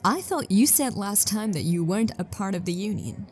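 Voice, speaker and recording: A woman's voice: medium pitch, lively intonation, normal speed, a little mellow, lightly acted. A woman playing an impatient, businesslike detective with a dry, curt manner in a sci-fi audio drama.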